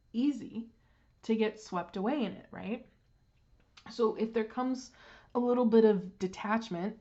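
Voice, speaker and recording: A woman with a congested throat gives a casual tarot reading, unhurried, clearing her throat and pausing on frequent ums. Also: a little high, mellow, lo-fi sound. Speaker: tarot reader.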